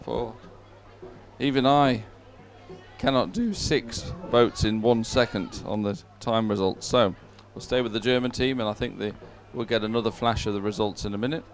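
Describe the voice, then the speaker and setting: A man's voice: on the low side, normal speed, faint music behind. A man hosting live sports commentary in a chatty, jovial way, as if talking with a colleague. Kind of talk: live sports commentary, relaxed co-host chat.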